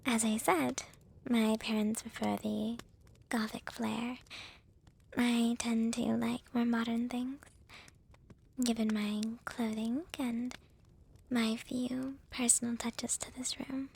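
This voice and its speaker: A woman playing a shy, playful young vampire with a crush in a whispered, breathy, hesitant ASMR girlfriend roleplay. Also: a little high, little pitch movement, slow, a little mellow, a little hiss or hum. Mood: soft, hesitant.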